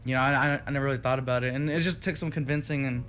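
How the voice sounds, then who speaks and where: A man's voice: mid-range voice, fairly even intonation, very fast, fairly bright tone. Rambling young Texan man. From Texas. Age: young adult. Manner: rambling, many fillers and restarts. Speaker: guest musician. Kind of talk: casual interview chat. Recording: radio studio with faint music behind.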